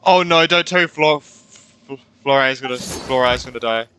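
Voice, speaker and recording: A man's voice: medium pitch, moderate pace, sharp tone, a little hiss or hum. A man reacting to a story game as a streamer, blunt, exclamatory and sweary. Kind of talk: reactive gameplay commentary. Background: game music.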